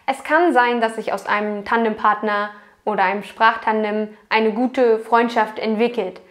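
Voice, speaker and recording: A woman's voice: fairly high pitch, steady tone, moderate pace, fairly bright tone. A young woman talking straight to camera in an advice vlog, chatty and earnest, addressing her viewers directly. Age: young adult. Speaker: vlogger. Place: small indoor room at home.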